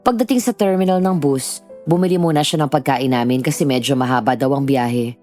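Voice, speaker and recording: A low-voiced woman, acting as the character tells her own story in a measured first-person narration. Her tone is dark and mellow, with faint music underneath. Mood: reflective.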